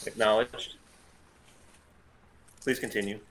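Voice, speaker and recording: A man's voice: lowish voice, steady tone, slow, a bit bright, a little hiss or hum. A man playing a terse, weary starship pilot in an understated script read, speaking laconically. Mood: resigned. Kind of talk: fan script table read, understated acting.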